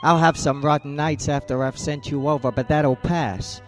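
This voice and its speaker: A man's voice: on the high side, fairly even intonation, normal speed, faint music behind, natural voice. An actor playing a cold, hard-boiled male private eye in a radio drama, blunt and clipped. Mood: brooding. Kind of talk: 1940s-style radio drama acting.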